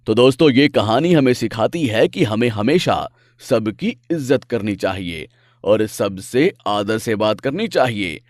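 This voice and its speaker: A man's voice: mid-range voice, dry recording, studio quality. A man narrating a scripted children's animal fable as a storyteller.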